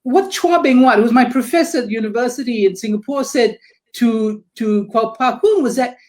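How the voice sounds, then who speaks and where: A woman's voice: on the high side, a little fast, darkish tone. A woman theatre critic on a panel discussion, speaking thoughtfully with many restarts and fillers, over a video call.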